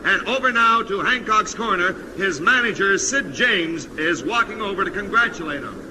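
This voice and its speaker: High-voiced excitable boxing commentator, heavy noise. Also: male voice, normal speed. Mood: amazed. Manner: dramatic, breathless blow-by-blow delivery. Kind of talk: 1950s radio sports commentary parody. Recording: very noisy vintage radio recording.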